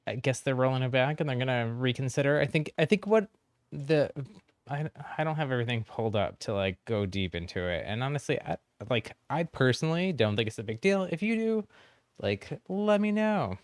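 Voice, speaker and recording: Smooth, dry-sounding man chatting on stream. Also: medium pitch, expressive, a little fast, no room sound. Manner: friendly, chatty, easygoing. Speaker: game streamer, host. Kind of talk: casual livestream chat with viewers.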